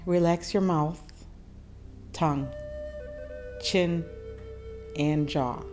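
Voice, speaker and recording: A woman leading a guided meditation, giving calm instructions in a soothing, measured manner with deliberate pauses. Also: lowish voice, soft background music.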